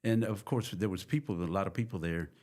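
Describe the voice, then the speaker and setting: An older man from Texas, a guest musician, telling long rambling stories in a relaxed chat. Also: low voice, a little fast. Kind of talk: casual interview storytelling.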